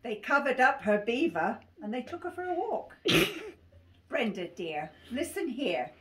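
A woman's voice: on the high side, very animated, measured pace, crowd noise. An 80-year-old woman with an English accent, reading a rhyming picture book aloud and often giggling as she goes. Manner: giggly, often breaking into laughter while reading. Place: small cluttered living room. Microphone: phone camera a few feet away. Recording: faint noise, clicks, home phone video.

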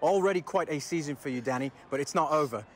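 An actor playing a polished, probing football reporter doing post-match interviews in a video game. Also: male voice, on the high side, fast, close to an everyday voice. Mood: professional interest. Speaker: sports reporter.

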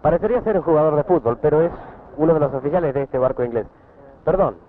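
Brisk Rioplatense street reporter, lively pitch. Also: male voice. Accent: Rioplatense Spanish. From Argentina. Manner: brisk, quick-fire questioning. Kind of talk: vox pop interviews. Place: city streets and harbor, outdoors. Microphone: handheld corded mic. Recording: tinny 1960s archival newsreel sound with street noise.

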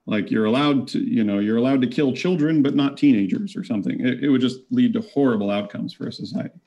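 A man's voice: medium pitch, fast, mellow, lo-fi sound. A man arguing his side in an online debate over a video call, reasoned and argumentative. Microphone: webcam video call.

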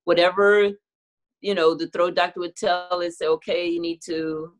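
A woman's voice: lowish voice, fairly even intonation, moderate pace. A middle-aged woman, a singer, talking candidly and reflectively in a casual video-call interview from a room at home. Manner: candid, reflective, laughs easily. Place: home room with clothing rack. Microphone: video call webcam.

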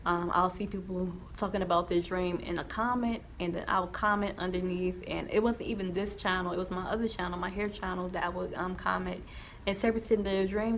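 A young woman with a slight lisp speaks casually to her camera in a small indoor room. Her voice is gravelly with vocal fry, and her talk rambles with frequent ums and restarts. A faint hiss sits under her voice. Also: fairly low pitch. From New Jersey, USA. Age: young adult. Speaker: YouTuber sharing her testimony.

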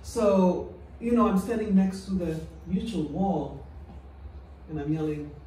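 A woman from Israel with an Israeli accent and a low, deep voice, performing a stand-up set with deadpan, self-mocking delivery on a small club stage into a handheld mic. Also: measured pace, a little hiss or hum. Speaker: stand-up comedian. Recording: echoey club, heard from a distance.

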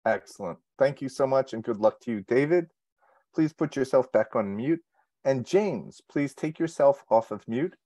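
A man moderates a contest on a video call in a medium-pitched voice. He thanks speakers and gives short, polite instructions as host. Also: expressive, moderate pace, dark tone, highs cut off.

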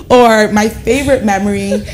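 A woman in her thirties with an American accent, a guest on a talk show who tells lively stories and keeps adding "right?" as she goes. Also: mid-range voice, moderate pace, soft background music. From Ghana, raised in the United States. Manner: animated storytelling, often adds "right?". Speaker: guest, education reformer. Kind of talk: casual talk-show conversation. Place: living-room studio set, indoors. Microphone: lapel mic.

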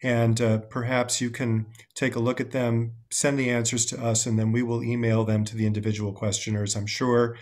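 A man in his sixties hosting an interview over a video call, asking measured questions and thanking his guest in formal terms. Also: medium pitch, flat delivery, darkish tone. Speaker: host. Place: home room indoors.

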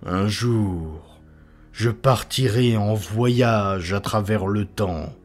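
Man acting a halting, feverish occult visionary. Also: lowish voice, faint music behind. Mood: fervent awe. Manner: halting, dramatic, hesitant pauses. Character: haunted male occult writer, feverish, awestruck visionary. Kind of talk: dramatic audiobook character reading.

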